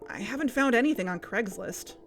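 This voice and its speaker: An actress playing a measured, practical investigative podcast host in an audio drama. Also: female voice, a little high, expressive, faint music behind.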